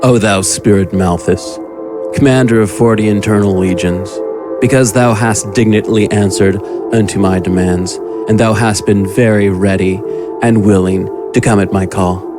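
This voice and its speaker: A man's voice: lowish voice, steady tone, normal speed, music in the background, close to an everyday voice. An actor playing a domineering, cruel occult magus who talks down to his pupil in an audio drama. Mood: solemn ritual. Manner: condescending, commanding. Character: sinister, domineering male occult magus, cruel and mocking mentor.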